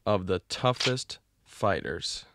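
A man's voice: sits low, expressive, slow, fairly bright tone, a little hiss or hum, full character voice. A man voicing a henchman who formally reports to his boss in a game cutscene.

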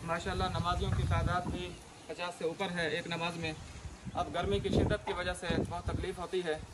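A man's voice: high voice, level tone, a little fast. A local man being interviewed, making a plain, repetitive, unscripted request for help. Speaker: local worshipper interviewed for the appeal. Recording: noisy field recording with vehicle sounds.

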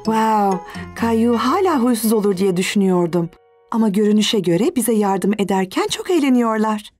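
A woman playing a kindly, encouraging mother of young children in a cartoon dub, with a gentle manner. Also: mid-range voice, expressive, normal speed, soft background music, close to an everyday voice. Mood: pleased.

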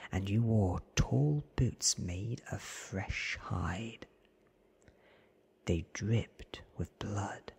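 A man reads as an earnest young storybook friend, awed by what he tells. The voice is an extremely breathy whisper, slow and drawn out, in close ASMR style. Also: fairly low pitch, heavily acted. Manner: hushed, drawn-out, earnest telling.

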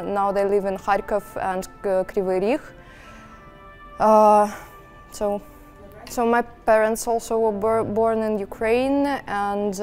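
A young woman with a Russian accent speaking English in an interview, hesitant and earnest, with many pauses and fillers. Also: mid-range voice, a little mellow. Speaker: interviewee, student and freelance journalist. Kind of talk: sit-down interview answers. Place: indoor studio space.

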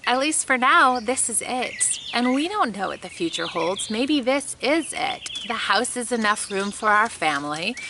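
A woman's voice: medium pitch, noisy background. A woman in her thirties talking casually to camera in her backyard, earnest and chatty, with small laughs. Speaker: homestead vlogger. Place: outdoors in a grassy backyard beside a chicken coop. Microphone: camera mic at close framing.

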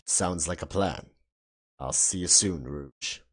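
A man's voice: sits low, slow, breathy edge, exaggerated voice. A man voicing a boastful, easily scared echidna warrior in a fan cartoon, with pompous, stilted formal speech. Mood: casual. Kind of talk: fan-film cartoon acting.

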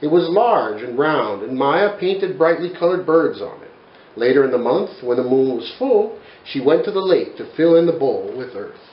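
A man's voice: mid-range voice, normal speed, echoey room, highs cut off. A man in his forties reading a children's picture book aloud in a bookshelf-lined study, telling it expressively. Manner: expressive, animated storytelling. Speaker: storyteller.

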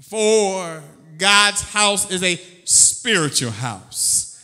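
A man's voice: sits high, big pitch swings, drawn-out words, bright, forceful tone, smooth, long reverb tail. A man preaching a sermon as pastor, fervent and drawn out, calling out "oh Lord" between phrases. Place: church sanctuary.

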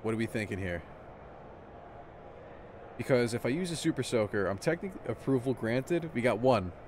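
A man in his twenties in a small room with a headset, casually commentating a live stream and chatting excitedly with viewers. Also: mid-range voice, moderate pace, background noise. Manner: excitable, chatty, addresses chat.

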